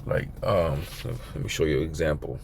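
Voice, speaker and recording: A man with a raspy, hoarse voice giving an informal, rambling video sermon as a religious teacher. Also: rumbling low, fairly even intonation, moderate pace, background noise. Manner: rambling, filler-heavy, conversational.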